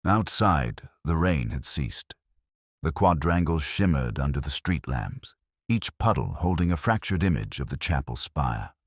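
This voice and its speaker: Very deep-voiced male narrator. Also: sing-song, no room sound, clean studio sound. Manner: measured, reflective, literary. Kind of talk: first-person detective story narration.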